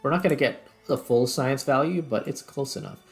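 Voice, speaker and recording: A man's voice: medium pitch, lively intonation, fairly quick, vocal fry, soft background music. A man doing casual gameplay commentary as a host, thinking aloud and muttering his way through tasks. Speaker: host, gaming video creator.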